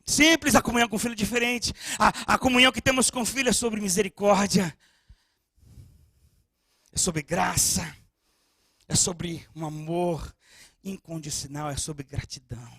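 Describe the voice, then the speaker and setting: A man with a very high, bright voice preaches to his congregation, close and dry on the mic. He swings from quiet, personal storytelling to fervent shouting, often stammering over repeated words as he searches for the next phrase. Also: normal speed, pauses often, husky, dry recording. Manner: frequent stammered restarts. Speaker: pastor. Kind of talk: sermon.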